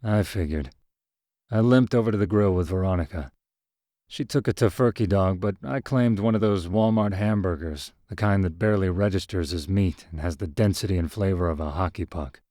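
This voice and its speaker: Low-voiced man narrating a story, dry close mic. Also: no room sound. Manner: measured, even storytelling. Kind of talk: scripted first-person story narration.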